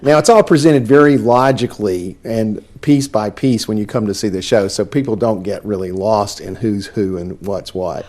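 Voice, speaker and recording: A man's voice: fairly low pitch, normal speed, few pauses, a little mellow. A man, a theater director, chatting in an interview, laughing often and poking fun at himself. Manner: chatty, laughing, self-deprecating. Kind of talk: casual interview chat.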